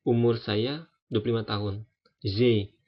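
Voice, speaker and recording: A man in his twenties giving a relaxed, hesitant French lesson, with many fillers and restarts. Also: on the low side, unhurried. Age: 20s. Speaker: French language teacher. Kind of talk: casual spoken lesson. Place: France. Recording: dull lo-fi recording.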